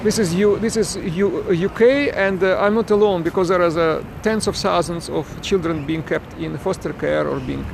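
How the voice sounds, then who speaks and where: A man's voice: sits high, moderate pace, vocal fry, hardly any pauses. A man from Ukraine, broadcasting live from a city street on a phone, answering viewers in broken English and often restarting his words. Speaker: parent livestreaming a protest. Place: outdoors on a city street with traffic. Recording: noisy outdoor phone recording with traffic.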